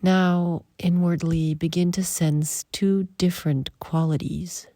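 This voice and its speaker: A woman leading a guided meditation in soft, breathy, short phrases with long pauses, often trailing toward a whisper. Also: fairly low pitch, fairly even intonation, no room sound.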